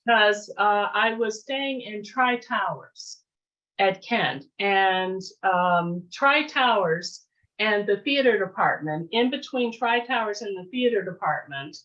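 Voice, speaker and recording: A woman with a mid-pitched voice shares her memories as a guest on a virtual interview. She speaks casually and reflectively, often pausing to find the next phrase. The sound is muffled, like a basic video call. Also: lively intonation, a bit bright. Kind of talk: casual storytelling, reminiscing.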